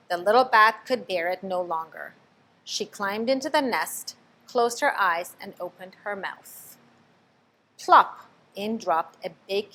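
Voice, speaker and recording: A woman reading a children's picture book aloud, expressive, stopping to ask the listener questions. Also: medium pitch, rough recording. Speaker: storybook reader.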